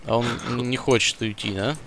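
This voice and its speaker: A young man streaming a game and bantering with friends, swearing freely and jumping in pitch when he yells. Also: brisk, gravelly, slight background noise. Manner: excitable, pitch jumps when yelling. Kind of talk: casual gaming chat with friends.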